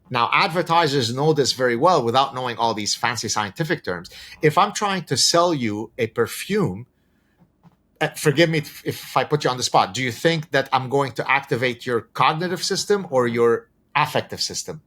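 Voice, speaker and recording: A man whose first language is Arabic, explaining ideas as a podcast guest in a deliberate, professorial way with rhetorical questions. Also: medium pitch, lively intonation, fairly bright tone. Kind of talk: conversational explanation with examples.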